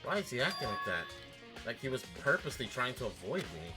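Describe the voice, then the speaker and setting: A man's voice: medium pitch, sing-song, fast talker, loud music behind the voice, close to an everyday voice. A man voicing an earnest, anxious teenage boy who narrates and wonders aloud in a visual novel. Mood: worried. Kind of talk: fan-voiced visual novel reading.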